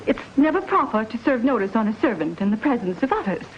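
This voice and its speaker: A woman's voice: fairly high pitch, very animated, fast, slight background noise. An American actress playing a fretful, proper middle-aged mother in a 1950s TV western, prim and easily flustered. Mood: prim, uneasy. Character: fretful, proper mother newly settled out West. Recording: dull old TV soundtrack, music behind.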